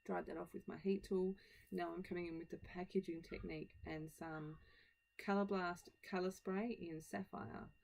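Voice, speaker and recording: A croaky woman narrates her craft video from home, her voice hoarse with a cold. She talks in a low, gravelly register, chatty and a little rambling, poking fun at herself as she goes. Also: fairly even intonation, moderate pace, darkish tone. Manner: chatty, self-deprecating, rambling asides. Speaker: scrapbooking channel host. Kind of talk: casual process-video voiceover.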